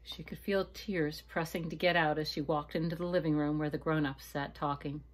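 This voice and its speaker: A woman with a low-pitched voice reads a storybook to children. She narrates expressively and dramatically, as a teacher would, drawing her listeners in. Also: slight background noise. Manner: dramatic, pausing to ask listeners questions. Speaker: teacher reading aloud to children. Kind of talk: expressive storybook read-aloud.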